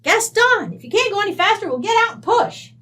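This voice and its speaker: Brash, high-pitched Western rancher widow. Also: female voice, very animated, brisk, bright, forceful tone, heavily acted. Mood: impatient. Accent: American West. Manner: blunt, slangy, bossy. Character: brash, folksy wealthy widowed cattle rancher from Wyoming. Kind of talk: old-time radio drama acting.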